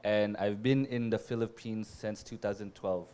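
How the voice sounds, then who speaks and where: Man, 20s, grew up in the United States, low voice, calm, panel guest. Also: moderate pace, slight background noise. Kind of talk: self-introduction on a panel.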